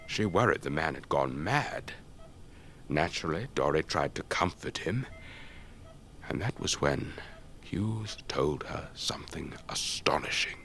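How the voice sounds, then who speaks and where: A very deep, elderly English voice, that of a retired officer and urbane raconteur. He speaks slowly, with old-fashioned gentlemanly poise, as in a radio drama. There is some noise behind him. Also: animated, heavily acted. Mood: wry, storytelling. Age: older.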